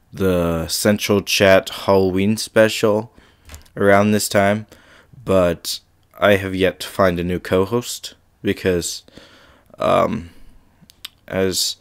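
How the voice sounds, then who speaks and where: A man's voice: deep voice, fairly even intonation, slow, pauses often, smooth. A male podcast host with a slight cold, chatting casually with frequent ums and then reading a scary story aloud.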